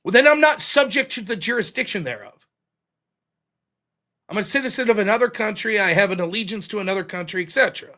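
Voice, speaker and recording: A man's voice: sits high, expressive, fast talker, pushed and bright. A man hosting a solo political commentary livestream, explaining a legal reading point by point in an argumentative, emphatic way. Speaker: livestream show host.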